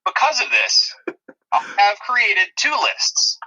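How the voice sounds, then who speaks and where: A man's voice: high voice, expressive, slow, bright, forceful tone, low-quality recording. A man co-hosting a movie review podcast, chatting in a dry, disparaging way. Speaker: podcast co-host.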